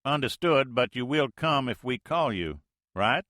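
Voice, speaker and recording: Loud, high, folksy Mississippi drawl for a ship's captain hailing the Navy; bright and dry. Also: male voice, animated, measured pace, dry recording. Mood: folksy bluster. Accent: put-on Mississippi. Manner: boisterous, folksy, loud over the radio. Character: Soviet Army major faking a folksy Southern American drawl. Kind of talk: audiobook character voice.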